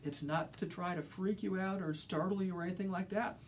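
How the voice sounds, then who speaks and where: A man's voice: sits high, steady tone, fairly quick, slight background noise. A middle-aged man from Alabama with a Southern accent, giving an earnest, enthusiastic welcome lecture as a college instructor, often repeating phrases for emphasis. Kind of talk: lecture-style course welcome.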